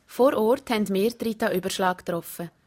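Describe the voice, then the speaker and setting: A woman's voice: mid-range voice, a little fast, darkish tone. Young Swiss German woman narrating a report. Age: young adult. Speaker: student reporter. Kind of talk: scripted radio report narration.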